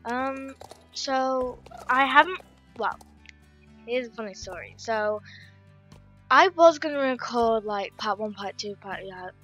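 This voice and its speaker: Young female gamer, croaky and bright, rambling casual commentary over gameplay. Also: on the high side, animated, takes it slowly, long pauses, dull audio, faint music behind. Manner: chatty, rambling, reads game text aloud. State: croaky, just woken up. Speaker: gaming YouTuber. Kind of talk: casual game commentary reading dialogue aloud.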